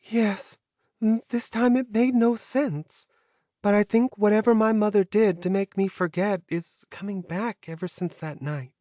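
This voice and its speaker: A man voicing an anxious young werewolf woman in an audiobook, soft, earnest and plaintive. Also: high-pitched, steady tone, measured pace, no room sound, muffled recording, pitched above the performer's own voice. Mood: troubled.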